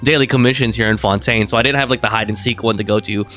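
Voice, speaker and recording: A man's voice: fairly low pitch, fairly even intonation, quick pace, a bit bright, faint music behind. A man giving casual live game commentary as a streamer, chatty and informal. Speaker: livestreamer.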